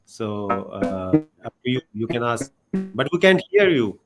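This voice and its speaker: Slow-spoken man hosting a call. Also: medium pitch, big pitch swings, loud music behind the voice, rough recording. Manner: unhurried, hesitant fillers. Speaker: host. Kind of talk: moderating a video call Q&A.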